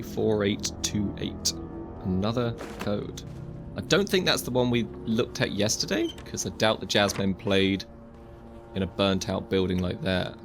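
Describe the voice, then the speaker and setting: A young man casually commenting while playing a VR game, chatty and joking, with wry asides to the viewer. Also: lowish voice. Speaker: gaming video host. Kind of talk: casual let's-play commentary.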